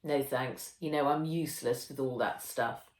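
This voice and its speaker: A woman's voice: extremely low pitch, normal speed. A woman voicing a frank twelve-year-old girl heroine in a children's audiobook, matter-of-fact and exasperated. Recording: roomy echo.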